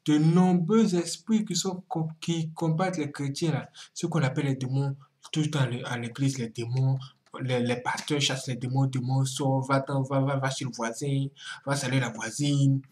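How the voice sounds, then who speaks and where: A middle-aged man hosts a reaction video in a mid-pitched voice. He talks dramatically, breaking into exclamations and repeating his phrases for emphasis. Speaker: YouTube host. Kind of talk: animated reaction commentary.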